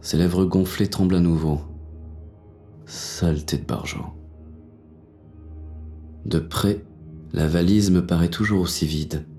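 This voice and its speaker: An actor playing a hard-boiled, bitter police inspector who narrates a thriller in terse, intense first person. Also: male voice, sits low, fairly even intonation, slow, long pauses, warm tone, soft background music. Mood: tense, wary. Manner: gritty, terse, intense delivery.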